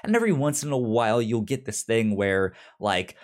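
A man's voice: lowish voice, expressive, normal speed. A man co-hosting a podcast in casual, unscripted chat, often stammering and repeating syllables. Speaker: podcast co-host.

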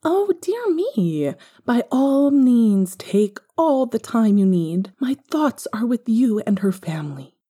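Woman voicing a high-pitched, fussy, polite male boss. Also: big pitch swings, slow pace, hardly any pauses, mellow, breathy edge, heavily acted. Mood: sympathetic. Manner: effusive, formal.

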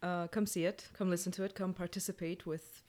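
A woman's voice: on the low side, flat delivery, fairly quick, a little mellow. A woman speaking as a guest in a casual panel chat, loosely repeating phrases as she goes. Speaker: guest playwright and director.

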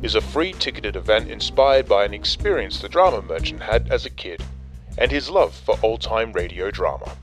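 A man's voice: on the low side, animated, normal speed, close to an everyday voice. A man playing a dramatic announcer of old-time radio serials, with a theatrical storytelling manner. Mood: announcing. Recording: music behind the voice.

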